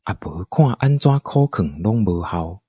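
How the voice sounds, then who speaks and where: A man narrates a scripted adventure tale with a Taiwanese accent. His voice is fairly low and husky, recorded dry and close. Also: sing-song, unhurried, warm tone, dry recording.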